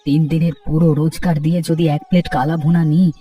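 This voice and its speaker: Caring, anxious cartoon rickshaw puller male performer, mellow tone, slightly distant over music. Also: fairly high pitch, level tone, fast, natural voice. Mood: worried, caring. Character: poor, caring, worried village rickshaw-puller husband. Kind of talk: Bengali cartoon story dialogue.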